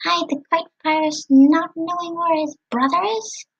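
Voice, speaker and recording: A woman voicing a proud, excitable younger skeleton brother with a theatrical, pompous manner. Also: extremely high pitch, normal speed, lo-fi sound, voice pushed up. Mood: indignant, relieved.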